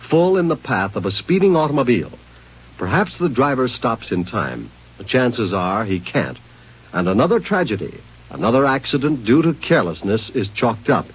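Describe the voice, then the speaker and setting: A man's voice: medium pitch, slightly rough. An American man reading a scripted safety announcement in 1950s radio style, earnest and emphatic. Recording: muffled vintage radio recording.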